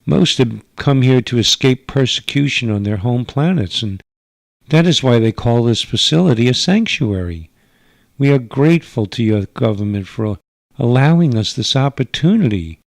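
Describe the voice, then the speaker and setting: A man's voice: medium pitch, no echo. A man voicing a stern, earnest alien mother in a radio drama, speaking formally and carefully, pleading for her people. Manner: formal, careful foreigner's wording, halting for words. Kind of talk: radio drama narration with character voices.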